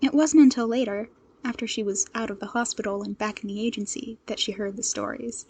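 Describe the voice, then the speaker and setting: A woman reading a fan fiction story aloud as an expressive scripted narrator. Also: mid-range voice, fairly quick, a little hiss or hum.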